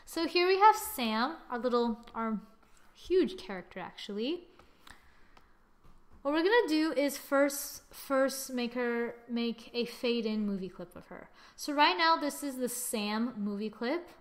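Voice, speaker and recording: Female tutorial presenter, slightly high voice, informal step-by-step delivery, thinking aloud. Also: animated, unhurried. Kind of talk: casual software tutorial narration.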